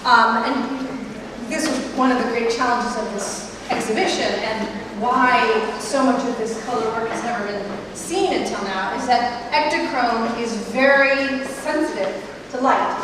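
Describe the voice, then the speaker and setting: A woman's voice: a little high, measured pace, hardly any pauses, noisy background. A woman in her thirties giving a guided tour of a gallery as its curator, chatty, with many ums and restarts. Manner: chatty, many ums and restarts, walking and gesturing while talking. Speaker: museum curator. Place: large gallery room, indoors. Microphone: handheld mic through a loudspeaker, recorded by a far camera. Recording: echoey gallery, speaker far off.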